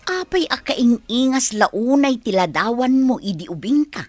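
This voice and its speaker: Nosy older housekeeper in radio play, swoopy high voice, faint music under. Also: female voice, measured pace, no echo. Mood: curious, admiring. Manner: curious, animated. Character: nosy, deferential older female household helper. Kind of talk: audio drama acting.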